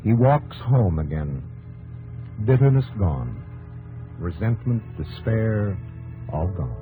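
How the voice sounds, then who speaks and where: A man's voice: lowish voice, sing-song, slow pace, phone-quality sound. A man narrating a 1950s radio drama in dramatic, clipped, solemn phrases. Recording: old radio broadcast with organ music.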